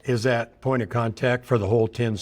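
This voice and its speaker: A man co-hosting a podcast, chatting casually and asking quick follow-up questions. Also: mid-range voice, fairly even intonation.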